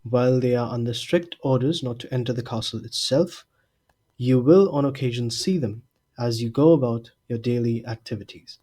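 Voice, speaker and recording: A man playing an aged headmaster wizard in an audio drama, genial yet grave, giving measured formal oratory in a husky voice. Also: medium pitch, mellow, natural voice. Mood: grave, warning. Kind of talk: fan audio drama, formal speech to a hall.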